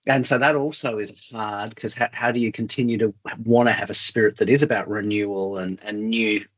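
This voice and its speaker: A man's voice: fairly low pitch, fairly even intonation, brisk, a bit bright. Musing male interviewer, slightly dull sound. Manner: tentative, musing, hedged phrasing. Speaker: interviewer, podcast host. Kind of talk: thoughtful conversational interview.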